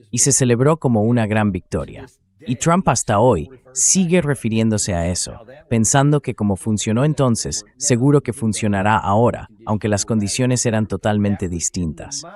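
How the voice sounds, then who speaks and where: A man's voice sits a little on the low side. It is a synthetic dubbing voice, used for a host giving spoken political commentary in translation. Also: darkish tone.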